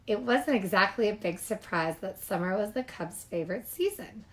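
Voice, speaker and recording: A woman reading a picture book aloud to young children in an animated, expressive way, with casual asides. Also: medium pitch, normal speed, low-quality recording.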